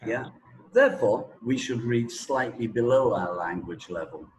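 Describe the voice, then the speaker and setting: A man's voice: medium pitch, animated, measured pace, a little mellow, slight background noise, poor audio. A man being interviewed as a language teacher, explaining things conversationally and didactically, often checking that the listener follows. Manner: didactic, checking with "right?", "okay?", "yeah?".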